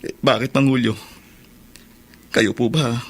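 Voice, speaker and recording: An actor with a Filipino accent playing an aggrieved young son in a radio drama, husky, pleading and emotional. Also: male voice, mid-range voice, level tone, measured pace. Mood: bitter, hurt. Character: aggrieved, bitter young son who lands in prison.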